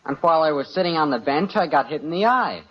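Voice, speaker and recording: A man's voice: a little high, expressive, quick pace. Male actor as grumbling, aggrieved sitcom boy. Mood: defensive. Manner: defensive, grumbling. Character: aggrieved, whiny adolescent son in a family comedy. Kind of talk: old-time radio sitcom acting. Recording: dull old radio recording.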